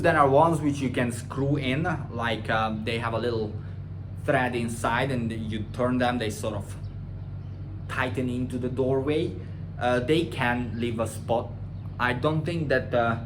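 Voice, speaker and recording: A man with a Hungarian accent, a personal trainer answering viewer questions in a casual monologue, blunt and opinionated, swinging from loud rants to quieter explanations. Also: background noise. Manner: blunt and opinionated, rising loud and high when ranting; drops to a quieter, slower tone when explaining.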